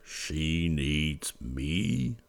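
Rough-spoken cowboy, voiced by a male reader; deep gravelly drawl. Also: expressive, extremely slow, exaggerated voice. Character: gruff rough-spoken cowboy, hot-tempered, plainspoken.

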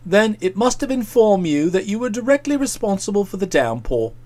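A man voicing an aggrieved, aloof young English gentleman in a storybook, speaking with cold, pompous sarcasm. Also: high voice, big pitch swings, brisk, voice pushed down. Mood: cold, reproachful.

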